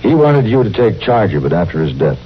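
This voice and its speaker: An actor playing a courteous, businesslike city doctor, speaking in a measured, formal way in a 1950s radio western. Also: male voice, fairly low pitch, big pitch swings. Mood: earnest, persuasive. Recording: muffled, hissy old radio recording.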